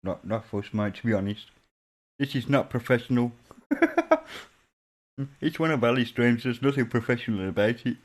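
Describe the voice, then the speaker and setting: A man's voice: medium pitch, moderate pace, long pauses. A man with a Birmingham accent hosting a casual live stream chat, talking loosely and repeating words as he goes. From England. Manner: chatty, stumbles and repeats words. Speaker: stream host.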